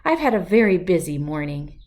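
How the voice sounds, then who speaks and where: An earnest young male dog from a children's storybook, acted by a woman. He is a plain-spoken pupil, sleepy yet curious. The pitch moves in wide, animated steps. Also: a little fast. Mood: reluctant, polite. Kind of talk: children's storybook read-aloud.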